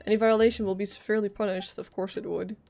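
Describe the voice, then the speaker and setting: A woman's voice: mid-range voice, level tone, fast talker, creaky, darkish tone. A woman live streaming a game, casually reading along and reacting with sarcastic, irreverent quips. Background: game music.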